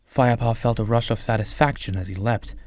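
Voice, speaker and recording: A man's voice: mid-range voice, fairly even intonation, a little fast, darkish tone. A man narrating an audiobook story from a script.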